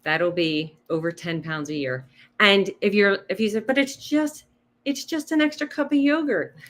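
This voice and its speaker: Lively woman coaching with quick rhetorical questions. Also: mid-range voice. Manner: animated, explains with numbers and rhetorical questions. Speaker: health coach guest. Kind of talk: casual interview chat.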